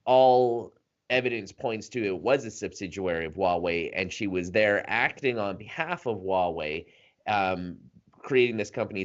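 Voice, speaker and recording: A man co-hosting a podcast, explaining a news story in a casual chat with frequent ums and uhs. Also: on the low side. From Canada. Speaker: podcast co-host. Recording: dull lo-fi podcast audio.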